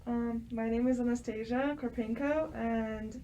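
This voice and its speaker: A woman's voice: fairly high pitch, steady tone, unhurried, warm tone. Young woman from Ukraine, muffled mic. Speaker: student guest. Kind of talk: podcast self-introduction. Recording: muffled lo-fi mic with faint background hiss, off-mic.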